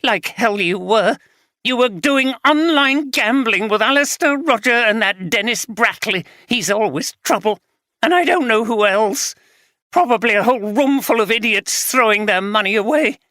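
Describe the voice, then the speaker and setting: A woman's voice: a little high, expressive, moderate pace, a bit bright, dry recording, studio quality, voice pushed up. Bitter tipsy wife, as a reader puts her on. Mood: bitter, furious. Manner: bitter, contemptuous, slurring slightly. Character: angry tipsy middle-aged wife. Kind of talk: audiobook character reading.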